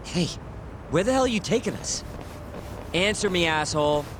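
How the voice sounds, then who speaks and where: Game hothead sidekick: higher register, blunt, cocky, street-casual, noisy backdrop. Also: male voice, lively intonation, moderate pace. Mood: angry, confrontational. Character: brash, hot-tempered younger male sidekick. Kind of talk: English video game dub acting.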